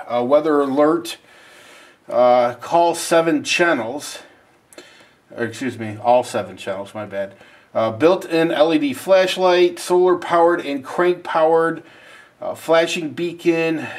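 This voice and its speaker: A man giving a casual, rambling, self-deprecating unboxing and review as the host of a video. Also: fairly high pitch.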